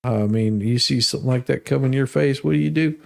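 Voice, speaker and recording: A man's voice: mid-range voice, a little fast, vocal fry. An American man reading documents aloud as a host, with casual, sarcastic asides and a folksy manner.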